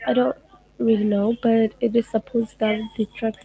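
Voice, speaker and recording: Woman from Gaza, Arabic native, livestream chat with followers, somewhat high voice, gravelly. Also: little pitch movement, warm tone, poor audio. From Gaza, Palestine. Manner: thoughtful, unhurried, reads comments aloud. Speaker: livestream host answering followers. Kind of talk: casual live chat reading comments.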